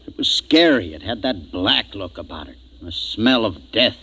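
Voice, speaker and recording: A low-voiced, superstitious space crewman. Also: expressive, normal speed, bright, forceful tone, husky, heavily acted. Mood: spooked. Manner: colloquial, foreboding. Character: superstitious, uneasy, plain-spoken male spaceship crewman. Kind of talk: 1950s radio acting.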